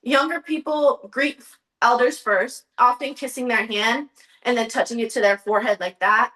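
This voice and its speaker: Young female student presenter, slightly high voice, sincere, slide-based talk. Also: fairly even intonation, moderate pace, bright, forceful tone, rough recording. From United States. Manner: earnest, occasional restarts and ums.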